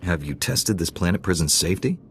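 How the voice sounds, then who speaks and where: An actor playing a steady, earnest young knight in a video game, speaking with terse confidence. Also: male voice, low voice, a little fast, a little hiss or hum. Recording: music under the voice.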